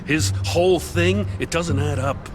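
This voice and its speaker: Man as emphatic, higher-pitched frustrated police chief. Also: a little slow, close to an everyday voice. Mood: angry, threatening. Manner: emphatic, stern. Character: frustrated, hard-driven middle-aged male police commissioner. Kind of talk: video game voice acting. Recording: loud game music behind the voice.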